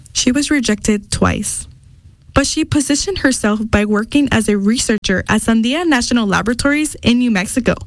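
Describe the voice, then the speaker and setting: A woman narrating a scripted radio feature in a clear, measured voice. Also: a little high, no echo, poor audio.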